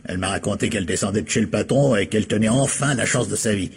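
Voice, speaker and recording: A man's voice: on the low side, fast, vocal fry, slightly rough, full character voice. An actor playing a gossipy film-studio informant in a French radio crime play, colloquial and emphatic in his telling. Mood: scornful gossip.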